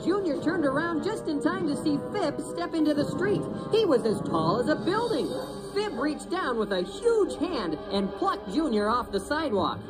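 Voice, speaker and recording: Animated woman narrating a children's story. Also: sits high, normal speed, over loud music, low-quality recording.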